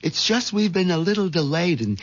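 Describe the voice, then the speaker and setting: An actor in a 1970s radio drama playing a timid, nervous middle-aged husband who is easily flustered. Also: male voice, high-pitched, very animated, a little fast. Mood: flustered, stammering. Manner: hesitant, stammering, flustered. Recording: dull vintage radio recording, music behind.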